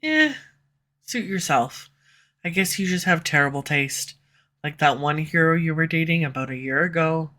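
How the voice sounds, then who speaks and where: Woman doing a snarky villain, bright edgy voice, sarcastic teasing all the way through. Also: sits low, lively intonation, normal speed. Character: snarky sharp-tongued villain, slightly tsundere. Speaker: audio roleplay voice actor. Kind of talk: scripted audio roleplay. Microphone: USB condenser mic.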